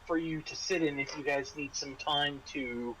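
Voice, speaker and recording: A man acting as game master, describing scenes and explaining rules in a halting way, restarting his phrases. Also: fairly high pitch, fairly even intonation, fairly bright tone, slight background noise, rough recording. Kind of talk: tabletop RPG narration and casual rules talk.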